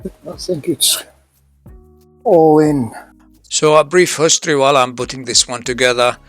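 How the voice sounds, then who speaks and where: A man giving casual hands-on commentary as host of a restoration video, halting as he thinks aloud. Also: on the high side, fairly bright tone, soft background music. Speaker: host restoring vintage electronics.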